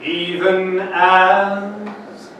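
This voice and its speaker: A man from Colorado performing spoken word poetry and talking to a conference audience, dramatic, slow, swinging between loud and soft and sometimes breaking into song. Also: high-pitched, flat delivery. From Denver, Colorado. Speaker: poet and speaker at a conference. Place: large conference hall. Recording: very echoey hall, from far off, noisy.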